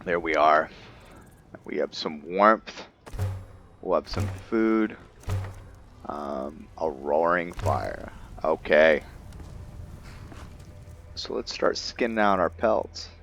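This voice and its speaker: Deep-voiced, slow-paced man. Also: expressive, creaky, fairly bright tone, lo-fi sound, soft background music. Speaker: gamer narrating roleplay playthrough. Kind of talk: casual game commentary.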